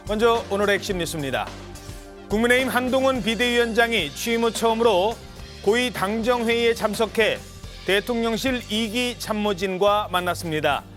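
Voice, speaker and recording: A man anchoring a Korean TV news talk show, reading introductions and moderating a panel in a formal, measured way. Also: high-pitched, a bit bright, soft background music.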